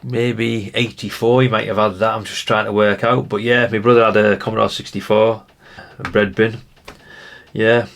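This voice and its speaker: A man in his fifties with a lowish voice and plenty of gravelly creak. He chats casually and nostalgically as he browses, wandering from thought to thought as if thinking aloud for the viewer. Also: level tone, normal speed, fairly bright tone. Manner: rambling, chatty, musing aloud to the viewer. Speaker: retro collector presenting a video.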